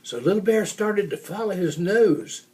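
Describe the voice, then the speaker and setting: An older man reading a children's story aloud in an expressive storytelling manner. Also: sits high, a little slow. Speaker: storybook reader.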